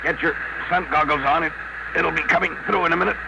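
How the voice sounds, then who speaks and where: An actor in a 1950s radio drama playing a practical, authoritative spaceship leader who gives brisk instructions. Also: male voice, a little high, steady tone, gravelly. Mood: instructive. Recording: muffled, very noisy old radio recording.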